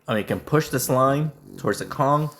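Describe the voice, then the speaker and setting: A man with a hoarse voice, sick and nearly losing it, commenting casually on a mobile game match he is playing. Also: medium pitch, fairly bright tone. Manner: throat clearing, halting restarts. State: sick, voice strained and nearly lost. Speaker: gaming YouTuber.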